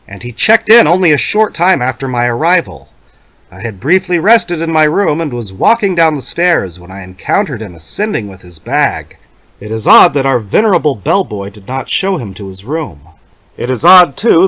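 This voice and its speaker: A man voicing a lonely fussy academic, dramatically animated. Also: a little high, no echo. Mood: curious, fussy. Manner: formal, measured, expressive literary diction. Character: lonely, fussy, self-pitying middle-aged male academic recounting a mystery. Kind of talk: dramatic horror story reading.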